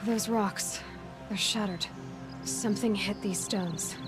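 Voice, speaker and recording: A woman playing a level-headed, determined young hunter in a video game, speaking in a measured, focused way. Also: mid-range voice, fairly even intonation, close to an everyday voice. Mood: focused, pondering. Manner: measured, focused, matter-of-fact. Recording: loud music behind the voice. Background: game music.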